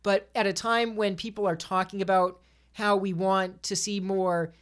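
A woman's voice: mid-range voice, fairly even intonation, moderate pace. A woman on a podcast panel casually discussing films in an emphatic, opinionated way.

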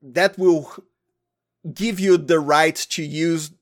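Higher-pitched Brazilian man, halting speech. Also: fairly even intonation. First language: Portuguese. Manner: halting, searching for words in a second language. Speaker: podcast guest, audio fiction producer. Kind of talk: casual interview chat.

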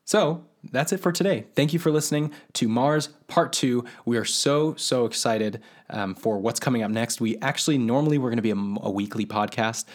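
A man at a mid pitch co-hosts a casual science podcast. He turns technical space news into plain talk and wraps up with friendly plugs to listeners. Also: fairly quick, hardly any pauses. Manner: explains space news in plain terms, then friendly sign-off. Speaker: podcast co-host.

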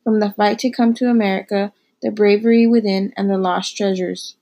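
Teenage girl, poem recitation, monotone delivery. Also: medium pitch, moderate pace. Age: teens. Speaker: student. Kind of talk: reading a poem aloud.

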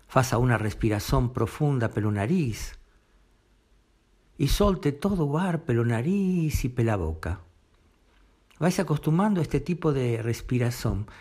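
A man narrates a guided meditation at a slow pace, with a mid-pitched voice. He gives unhurried instructions in short phrases, leaving long pauses between them. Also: darkish tone.

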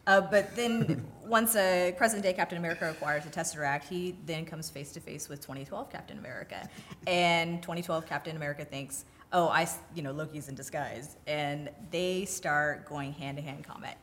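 A woman with a distinctly low voice talks as a podcast co-host. She retells film scenes casually and with enthusiasm. There is faint noise behind her. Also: moderate pace, fairly bright tone.

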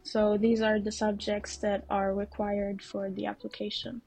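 A woman's voice: mid-range voice, completely flat delivery, a little slow, darkish tone, faint music behind. A fifteen-year-old girl answering interview questions briefly and matter-of-factly. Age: teens. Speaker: student guest.

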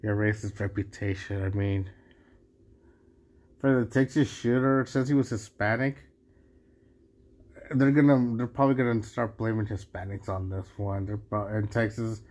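A man's voice: lowish voice, steady tone, moderate pace, long pauses, a little mellow. A man from Mexico hosting a solo podcast, talking casually in a rambling way with many restarts and asides. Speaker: podcast host.

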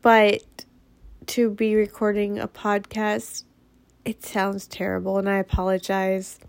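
A woman's voice: mid-range voice, monotone, takes it slowly, gravelly, dry recording. A woman with a head cold, nasal and sniffling, hosting her podcast in a frank, blunt monologue that swears freely. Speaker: podcast host. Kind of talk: casual monologue.

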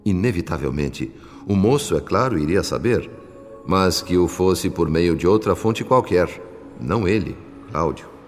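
A man with a Brazilian accent narrating a radio soap opera in a solemn, measured, dramatic scripted style. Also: deep voice, animated. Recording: long reverb on voice. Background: music.

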